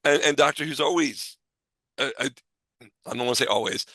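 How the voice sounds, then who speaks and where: A man co-hosting a casual podcast discussion, halting as he thinks aloud and rephrases his points. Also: medium pitch, sharp tone, no room sound. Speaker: podcast co-host.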